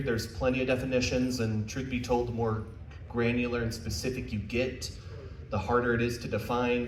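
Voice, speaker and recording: An American man giving an informal presentation to a live audience, with a casual, self-deprecating manner and jokey asides. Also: medium pitch. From United States. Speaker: convention panel presenter. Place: indoor convention panel room. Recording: roomy, distant mic, faint noise.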